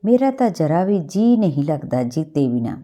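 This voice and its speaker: A woman's voice: sits low, very animated, normal speed, mellow. A woman playing a lonely young village daughter in a storybook reading, plaintive and simple, with a Punjabi accent.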